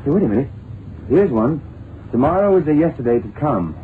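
An actor playing an eager, breezy detective's assistant in a 1970s radio drama, chatty and thinking aloud. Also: male voice, a little high, sing-song, brisk. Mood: puzzled, eager. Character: eager male detective's legman, breezy and puzzled. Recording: muffled, hissy old radio drama recording.

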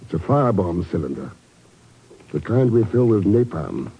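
An actor playing a cool, wry secret agent in a 1950s radio drama, dry, terse and unflappable. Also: male voice, sits low, very animated, normal speed, a little hiss or hum. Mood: dry, accusing. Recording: dull old 1950s radio recording.

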